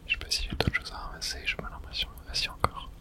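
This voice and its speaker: A man whispering ASMR video game commentary, murmuring short reactions to himself. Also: quick pace.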